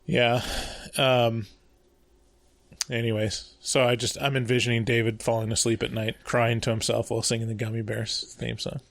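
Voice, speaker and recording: A man's voice: medium pitch, level tone, normal speed, gravelly, fairly bright tone, no room sound. Laid-back, joking man of forty. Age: 40s. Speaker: podcast co-host. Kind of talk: casual podcast chat.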